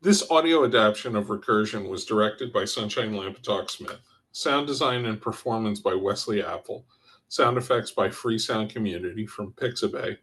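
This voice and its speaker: A man with a fairly low voice serves as credits announcer. He reads from a script in the style of narration. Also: normal speed, a bit bright, poor audio.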